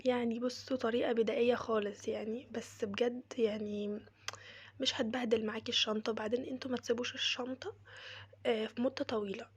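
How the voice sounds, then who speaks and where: A woman with an Egyptian Arabic accent and a cold, talking through a casual how-to tutorial in a soft, mostly whispered, chatty way. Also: a little high, slightly rough. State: has a cold, voice changed. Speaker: crochet maker demonstrating cleaning.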